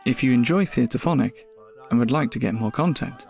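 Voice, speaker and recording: A man's voice: mid-range voice, brisk, creaky, darkish tone. A man reading scripted narration as a podcast announcer. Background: music.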